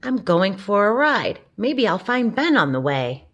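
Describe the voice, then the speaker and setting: A playful young male puppy from a storybook , voiced by a woman. He speaks slowly and simply, with a carefree eagerness suited to small children. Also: mid-range voice, big pitch swings, a bit bright, muffled recording, pitched above the performer's own voice. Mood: excited.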